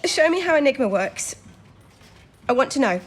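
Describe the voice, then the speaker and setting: A woman's voice: high voice, sing-song, normal speed. A young woman acting in an audio drama as an anxious, eager nineteen-year-old codebreaking recruit, earnest and deferential. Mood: eager, focused.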